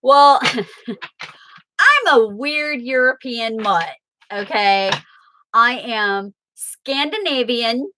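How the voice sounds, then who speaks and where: Slow, bright-voiced woman chatting with viewers. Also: high voice, low-quality recording. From Southern Illinois, USA. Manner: chatty, answers viewer comments aloud. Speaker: tarot reader and livestream host. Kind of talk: casual livestream chat with viewers.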